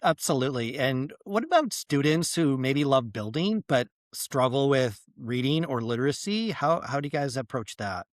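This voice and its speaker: A man with a medium-pitched voice interviews as a podcast host. His questions are conversational and loosely phrased, and the recording is dry with little room sound. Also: expressive, normal speed, few pauses, no echo.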